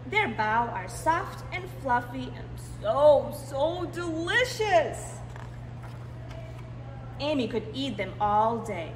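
Female storytime reader, unhurried and expressive, for a children's picture book; roomy recording with noticeable background noise. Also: high voice. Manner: expressive, drawn-out emphasis on key words. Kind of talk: animated picture-book read-aloud for young children. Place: indoors in a library, seated.

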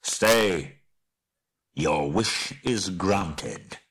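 Man playing a husky, commanding old wizard. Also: low voice, lively intonation, a bit bright, heavily acted. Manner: deliberate, wry, authoritative. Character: commanding old male wizard, wry and stern.

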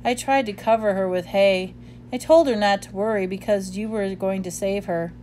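A woman's voice: moderate pace, warm tone, a little hiss or hum, natural voice. A woman voicing a loyal, scrappy little male stray dog who talks in a pushy, coaxing way. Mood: earnest. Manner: pushy, coaxing, high and insistent.

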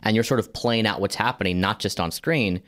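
A man's voice: on the low side, brisk, fairly bright tone. A man co-hosting a casual gaming podcast, explaining his points conversationally and often rephrasing them. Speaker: podcast host. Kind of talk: casual podcast discussion of video games.

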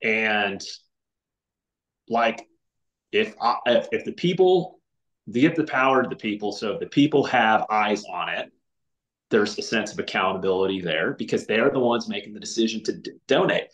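A man's voice: fairly low pitch, animated, moderate pace, a bit bright. Earnest man on a roomy video call. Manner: many restarts and fillers, earnest. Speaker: podcast guest. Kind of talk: casual video call chat. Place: home room with windows. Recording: roomy echo.

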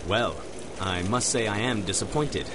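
An actor playing an arrogant, condescending sanitarium doctor in a radio drama, pompous and clinical. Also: male voice, lowish voice, normal speed, noisy background, natural voice. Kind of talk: 1930s-style radio drama acting.

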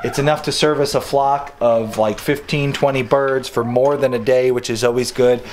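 A man's voice: mid-range voice, steady tone, moderate pace, background noise. A man in his thirties hosting a homesteading vlog, chatting eagerly about farm gear. He films himself with a handheld camera in a barn and a farmyard. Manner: upbeat, chatty, enthusiastic, with some stumbles. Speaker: homesteading channel host and father. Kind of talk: casual product demo vlog. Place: wooden barn and outdoor farmyard. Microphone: handheld camera at arm's length.

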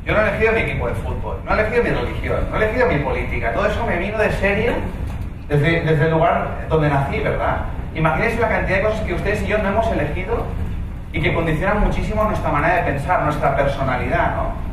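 A man with a Castilian Spanish accent speaks from a distance in a very echoey, noisy hall. His voice sits a little high as he delivers a motivational conference talk, conversational and emphatic, often checking in with short tag questions. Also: lively intonation, quick pace. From Spain. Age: 30s. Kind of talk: humorous motivational talk to an audience. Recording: echoey hall, distant mic, loud noise.

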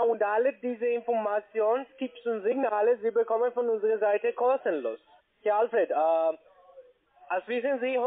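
A man with a foreign accent speaking non-native German, giving a halting phone sales pitch as a call centre agent and often checking with short tag questions. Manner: halting, broken grammar, checks with "ja?" and "ne?". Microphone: telephone. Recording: muffled narrow phone line.

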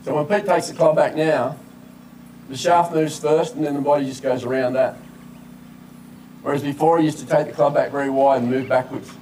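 A man's voice: medium pitch, steady tone, normal speed, dark tone. An older man with an Australian accent, a golf coach explaining technique conversationally at an outdoor clinic. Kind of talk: live golf clinic demonstration. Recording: distant camera mic outdoors, background noise.

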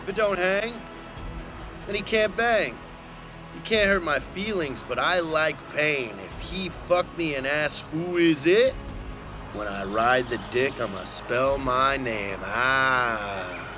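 A man delivers a mock-dramatic reading at an unhurried, deliberate pace. His pitch is ordinary for a man. Music runs clearly behind him. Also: expressive. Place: outdoors among trees. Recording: music under the voice.

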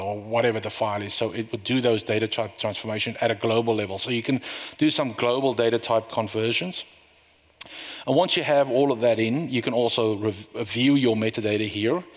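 A middle-aged man giving a conference talk, walking through a live software demo in a loose, rambling way with fillers and restarts. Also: medium pitch. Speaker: conference speaker. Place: conference room. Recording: long reverb of a hall.

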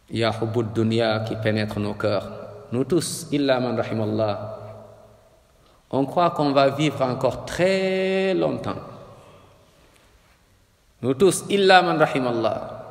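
Man, imam, emphatic sermon, stretched words, rising repetitions, roomy echo. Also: medium pitch. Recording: echoey hall.

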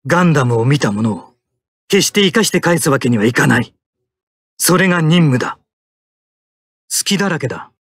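A man's voice: on the high side, animated, normal speed, pauses often, husky, no room sound. An actor playing a stoic young male mecha pilot in an anime combat game, speaking in terse, tactical military phrases. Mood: cool, composed.

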